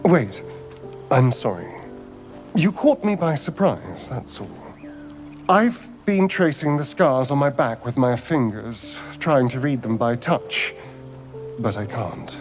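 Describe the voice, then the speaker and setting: A vain, wounded elf rogue from a fantasy game, played by a man. He is theatrical and prickly, lingering over words while his pitch swings widely. Soft music sits behind the voice. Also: animated, measured pace, close to an everyday voice. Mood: startled, guarded. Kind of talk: video game dialogue acting.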